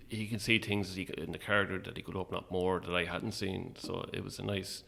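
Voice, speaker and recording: A man's voice: low-pitched, flat delivery, a little fast, gravelly, a bit bright. An Irish man chatting casually as an interview guest, a little hesitant and self-effacing. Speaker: guest, playwright.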